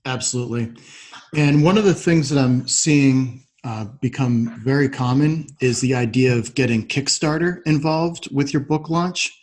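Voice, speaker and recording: A man's voice: mid-range voice, moderate pace, a little mellow. A man hosting a podcast, interviewing his guest in a conversational, supportive way. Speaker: podcast host.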